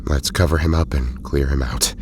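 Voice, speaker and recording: An actor playing a somber, tired field doctor in a superhero audio drama. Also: male voice, rumbling low, fairly even intonation, normal speed, a little mellow, slight background noise. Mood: somber resignation.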